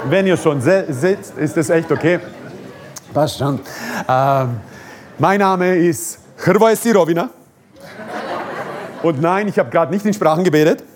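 A man's voice: high voice, slight background noise. A man from Croatia, preaching a fervent, repetitive sermon and prayer with joking asides.

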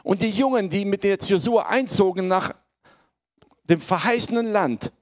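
A man preaching to his congregation, hoarse from a cold, speaking in a conversational way and often checking in with his listeners. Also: sits high, moderate pace. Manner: conversational, addresses congregation with tag questions. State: has a cold, voice affected. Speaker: pastor. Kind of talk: sermon.

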